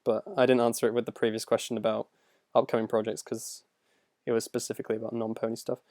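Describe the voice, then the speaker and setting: A man's voice: mid-range voice, flat delivery, fast, darkish tone, no room sound, clean studio sound. A young man casually answering viewer questions on a livestream, rambling and self-deprecating as he goes. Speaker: animator and video creator answering viewer questions.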